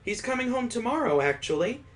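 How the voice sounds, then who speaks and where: A man's voice: very high-pitched, very animated, fairly quick, pitched above the performer's own voice. A man voicing a playful, affectionate young mother in a visual novel, fond and a little exasperated. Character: teasing, affectionate young mother, anime woman.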